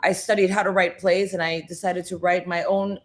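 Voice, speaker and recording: A woman's voice: fairly low pitch, flat delivery, normal speed. A middle-aged woman from the Philippines, a guest in a radio studio, telling stories in casual chat with many "you know" fillers.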